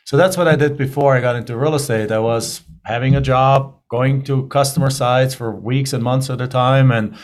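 A man's voice: medium pitch, fairly even intonation, normal speed, few pauses. A German-accented man in his forties tells his story as a podcast guest. He talks in a loose, chatty way, circling back with restarts. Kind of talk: casual interview storytelling.

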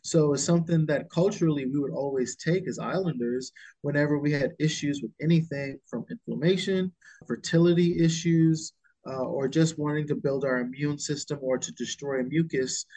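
A man from the Bahamas, a guest entrepreneur, telling his story in an interview with frequent pauses. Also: medium pitch, warm tone. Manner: unhurried, with frequent filler pauses.

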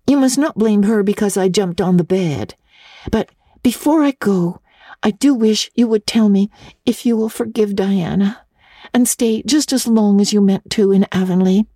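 Woman voicing an earnest, high-pitched little orphan girl. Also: normal speed, dark tone, no room sound, studio quality, lightly acted. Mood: polite, earnest. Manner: breathless, stammering, talkative, earnest. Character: eager, earnest, imaginative orphan girl, pleading politely.

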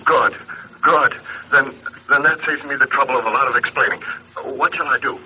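An actor playing a nervous, earnest man who phones the police, husky, in an old radio crime drama. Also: mid-range voice, normal speed, sharp tone, exaggerated voice, poor audio. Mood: insistent, agitated. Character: nervous, earnest male caller confessing to police. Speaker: telephone caller confessing to a crime. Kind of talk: old-time radio detective drama acting.

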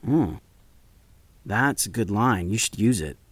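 Lively young American student editor. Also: male voice, on the low side, a little slow, no room sound. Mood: casual. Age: early 20s. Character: young male student film editor, earnest, patient, gently teasing. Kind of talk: casual conversational audio drama acting.

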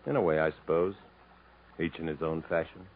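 Deep-voiced, world-weary drifter, old radio. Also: male voice, steady tone. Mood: weary, evasive. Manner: measured, literate, reflective, halting when pressed on feelings. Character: world-weary drifting man, haunted by past pain, guarded and remorseful. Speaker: actor and first-person narrator in a radio play. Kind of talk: 1950s American radio drama acting. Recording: muffled, noisy 1950s radio recording.

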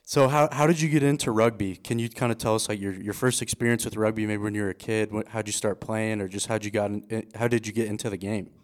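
Male podcast host, low smooth voice, flat delivery, chatty and relaxed. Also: fast talker, hardly any pauses. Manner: relaxed, chatty, with frequent restarts and fillers. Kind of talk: casual podcast interview.

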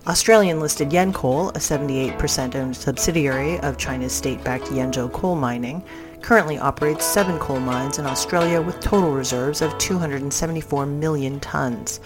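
A woman's voice: sits low, normal speed. A woman news reader delivering a scripted business news roundup. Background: music.

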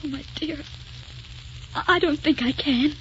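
An actress playing a frail, gentle, devoted wife, tender and hesitant, in a 1940s radio romance. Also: female voice, high voice, unhurried, a little hiss or hum. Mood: pleading. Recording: muffled 1940s radio broadcast, music behind.

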